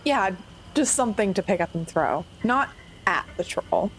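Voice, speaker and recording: A woman's voice: mid-range voice, expressive, normal speed, rough recording. A woman talking casually as a tabletop game player, describing her character's moves in the third person. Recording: faint background noise.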